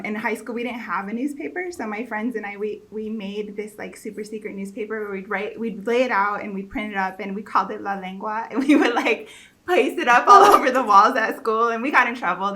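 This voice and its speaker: A woman's voice: medium pitch, bright, forceful tone. A woman co-hosting a podcast, asking questions and sharing stories in a friendly, chatty way. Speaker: podcast co-host. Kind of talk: casual interview chat.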